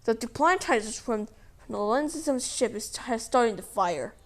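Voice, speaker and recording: A woman delivering a mock emergency broadcast announcement in a halting way, repeating words. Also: on the high side, animated, noisy background. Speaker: narrator of a mock emergency alert.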